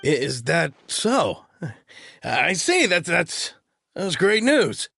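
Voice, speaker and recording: Gravelly, hesitant gentleman. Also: a little high, sing-song, no echo, studio quality, full character voice. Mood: awkward, subdued. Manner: halting, unsure. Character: awkward, downcast, hesitant gentleman investor. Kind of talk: English video game voice acting.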